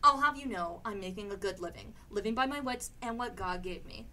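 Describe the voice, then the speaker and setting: A woman's voice: medium pitch, brisk, bright, forceful tone, close to an everyday voice. A woman playing an indignant, defensive sister in an amateur radio play, scolding and emphatic. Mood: defiant. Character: indignant, defensive sister standing up to bullying brother. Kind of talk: live amateur radio theatre acting. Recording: clearly noisy amateur radio drama recording.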